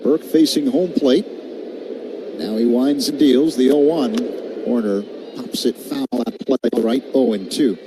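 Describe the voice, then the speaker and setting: A man's voice: medium pitch, unhurried. A man doing live radio play-by-play commentary of a baseball game. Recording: steady background noise.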